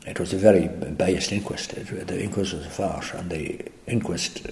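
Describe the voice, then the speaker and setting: An older man with an Irish accent, answering interview questions in a halting, reflective way with many restarts. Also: low-pitched, darkish tone, slight background noise. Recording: echoey room.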